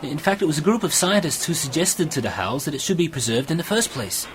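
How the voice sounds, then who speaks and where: A man's voice: fairly high pitch, animated, quick pace, slight background noise, natural voice. A man playing an eager, bookish friend full of lore in an amateur science fiction radio play, explaining and musing as he goes. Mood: musing, explanatory.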